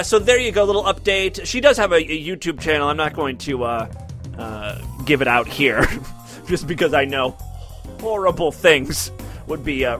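Sarcastic, lively-voiced male show host. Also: fairly high pitch. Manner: sarcastic, profane, reads listener emails aloud. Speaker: podcast host. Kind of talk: casual profane commentary.

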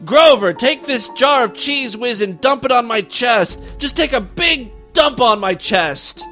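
A man's voice: high-pitched, expressive, normal speed, a bit bright, faint music behind. A man voicing a manic, lecherous husband in a theatrical, grandiose comic story. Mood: frenzied.

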